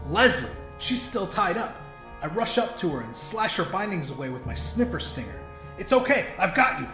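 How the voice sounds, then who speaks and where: Male audiobook narrator, high register, theatrical horror storytelling, echoey, music bed. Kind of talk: dramatic first-person horror fiction narration. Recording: roomy echo, music underneath.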